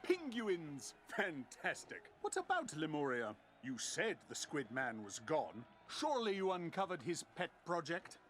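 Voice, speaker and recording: An actor playing a bombastic, vain adventurer in a video game, declaiming theatrically. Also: male voice, fairly high pitch, huge pitch swings, a little slow, a bit bright, a little hiss or hum, exaggerated voice. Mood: excited. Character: bombastic, dramatic adventurer man, vain and vengeful. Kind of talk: video game character acting.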